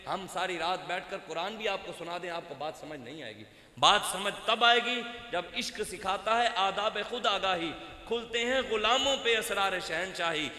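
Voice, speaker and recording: A man preaching a fiery sermon in Punjabi-accented Urdu, swinging between loud outbursts and low, quiet lines. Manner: impassioned, rising to loud outbursts then dropping low. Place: outdoor religious gathering with loudspeakers. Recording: echoey hall with long reverb.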